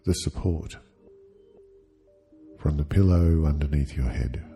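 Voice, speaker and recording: A man leading a guided sleep hypnosis, speaking soothingly and gently in short, halting phrases with long pauses. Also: extremely low pitch, faint music behind.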